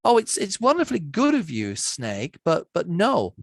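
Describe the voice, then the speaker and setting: A performer voicing a small, quick-witted, boastful mouse in a theatrical, exclaiming storybook style. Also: medium pitch, huge pitch swings, vocal fry, no room sound, studio quality, voice pushed up, full character voice. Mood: feigned innocence.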